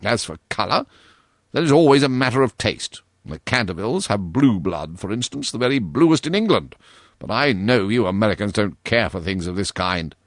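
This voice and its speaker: A man's voice: lowish voice, expressive, moderate pace, dry recording, studio quality. Petulant, pompous old ghost, as a man voices him. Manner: petulant, haughty, self-pitying. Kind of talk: storybook reading.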